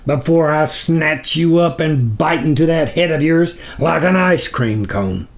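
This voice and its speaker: Man putting on a booming, scornful demon overlord. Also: unhurried. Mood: angry, mocking. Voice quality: booming, roaring. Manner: scornful, pompous insults. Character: cruel thunderous demon overlord, disdainful and impatient.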